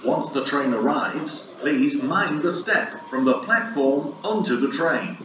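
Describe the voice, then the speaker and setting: A man giving calm, formal automated safety announcements at a railway station. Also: fairly high pitch, slow pace, noisy background. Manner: calm, formal, even delivery. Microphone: station loudspeakers recorded from far off. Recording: very echoey platform speakers from far off. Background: station ambience.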